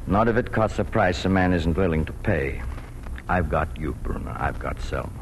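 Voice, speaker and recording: An actor in a 1940s radio drama playing a weary, gentle immigrant farmer father who talks plainly and haltingly. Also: low-pitched, lively intonation, low-quality recording. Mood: resigned, tender. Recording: hissy vintage 1940s radio recording.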